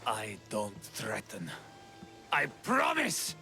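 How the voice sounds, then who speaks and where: A man's voice: on the low side, huge pitch swings, slow, creaky, sharp tone, soft background music, heavily acted. An actor playing a cowardly, scheming male bounty hunter in a game cutscene who sneers and then begs under threat. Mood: sneering.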